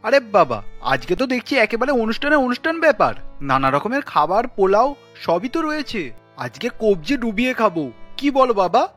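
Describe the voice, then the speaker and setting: A man voicing a young Bengali husband in a family cartoon, easygoing and colloquial, quick to protest. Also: high-pitched, big pitch swings, a bit bright, faint music behind, exaggerated voice. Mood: cheerful. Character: young Bengali husband, easygoing office worker, easily exasperated.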